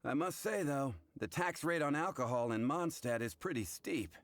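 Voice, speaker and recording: A man's voice: mid-range voice, lively intonation, moderate pace, no echo, natural voice. A man playing a blunt, sardonic tavern bartender in an anime video game. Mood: matter-of-fact. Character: blunt, wry male tavern bartender, adult. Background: music.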